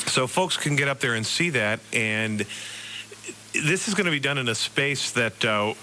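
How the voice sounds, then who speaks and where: American man, radio host role. Very crisp-edged, punchy delivery, slightly halting questions, faint hum on the recording. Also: mid-range voice, lively intonation, pushed and bright, poor audio. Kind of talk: radio interview.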